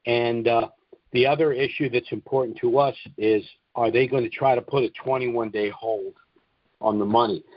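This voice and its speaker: A man's voice: medium pitch, steady tone, moderate pace, no room sound. A man hosting a conference call, answering callers with informal, instructive advice and repeating his points emphatically. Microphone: conference call line. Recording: dull, lo-fi conference call audio.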